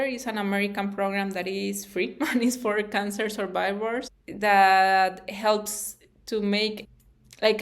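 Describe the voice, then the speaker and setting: A woman's voice: medium pitch, a bit bright. A woman from Costa Rica with a Costa Rican Spanish accent speaks earnestly and informally as a channel host, with non-native English phrasing. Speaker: YouTube channel host and interviewer. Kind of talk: informal personal talk to camera.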